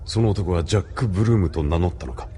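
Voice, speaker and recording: An actor playing a cool, commanding colonel with a measured, polite and imperious manner in an audio drama. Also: male voice, low-pitched, dark tone, a little hiss or hum, close to an everyday voice. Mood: cool, intrigued. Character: cool, commanding military colonel, coldly intrigued. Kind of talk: Japanese radio drama acting.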